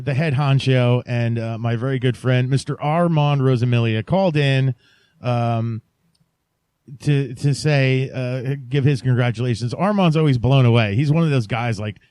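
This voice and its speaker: A man hosting a podcast in a casual, rambling way, halting often and joking with mock sincerity. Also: medium pitch, a little mellow, no room sound, clean studio sound. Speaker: podcast host.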